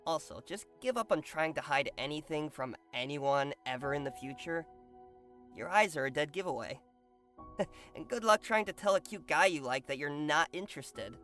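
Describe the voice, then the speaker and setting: Man playing a bright-voiced, sassy young boy. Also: fairly high pitch, animated, normal speed, soft background music. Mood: sassy teasing. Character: ten-year-old boy, caring, sassy younger brother. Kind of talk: audio drama acting.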